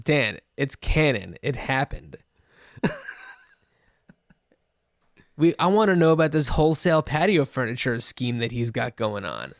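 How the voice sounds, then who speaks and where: Male podcast co-host, mid-range voice, relaxed and jokey, dry booth sound. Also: medium pitch, unhurried, vocal fry, long pauses, dry recording. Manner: easygoing, laughs often. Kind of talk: casual chat.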